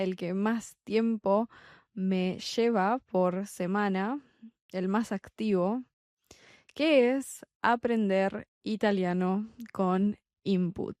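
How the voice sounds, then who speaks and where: A young adult woman from Argentina with a Buenos Aires accent, a Spanish tutor talking slowly and deliberately in a podcast for learners. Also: on the high side, darkish tone, no room sound, studio quality. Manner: slow, deliberate, clearly spaced phrasing. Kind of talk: slow podcast monologue for learners.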